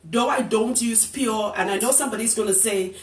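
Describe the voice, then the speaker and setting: A woman's voice: a little high, a little fast, slight background noise. Blunt teasing woman in a roomy space. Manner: blunt, teasing, laughs often. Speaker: loctician hosting a live stream. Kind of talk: casual live-stream advice. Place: indoors in a room, live broadcast. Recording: roomy, distant live-stream audio.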